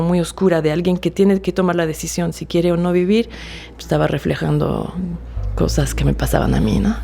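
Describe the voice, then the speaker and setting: Low gravelly French woman reflecting. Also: a little hiss or hum. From France. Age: 40s. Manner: soft-spoken, halting with fillers and restarts. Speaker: author interviewee. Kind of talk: reflective personal testimony.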